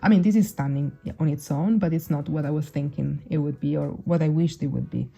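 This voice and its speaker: Low gravelly woman with Italian native tongue. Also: fairly quick, dark tone, soft background music. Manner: chatty, restarts and fillers, laughs often. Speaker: perfume reviewer. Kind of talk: casual chat to camera. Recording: dull lo-fi sound.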